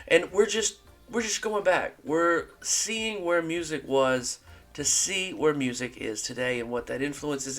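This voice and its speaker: A man's voice: on the high side, fairly bright tone. A man hosting a music reaction channel, talking casually to viewers in a rambling way and repeating points for emphasis.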